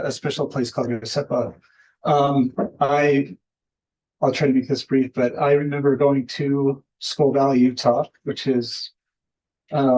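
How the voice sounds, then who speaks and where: An American man giving an informal guest speech in a halting, hesitant way, often restarting his phrases. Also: mid-range voice, dark tone, muffled recording. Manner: halting, hesitant delivery with repeated starts. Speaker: guest speaker, filmmaker. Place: indoor event hall, speaking at a podium.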